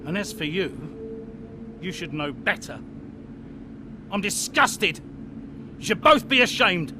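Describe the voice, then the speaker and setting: Angry racing team boss in a game, scolding real slow and emphatic, high voice, noisy background. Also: male voice, sing-song, full character voice. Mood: cold, disgusted. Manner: scolding, slow emphatic delivery rising to shouts. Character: furious male racing team boss berating his drivers. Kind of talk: dramatic cutscene acting. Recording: clear background noise.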